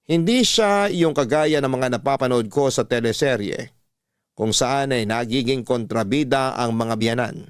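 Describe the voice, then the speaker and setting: Steady male narrator with a dry sound. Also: medium pitch, clean tone, no echo. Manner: steady, measured storytelling. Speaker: radio drama narrator. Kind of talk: scripted narration of a letter.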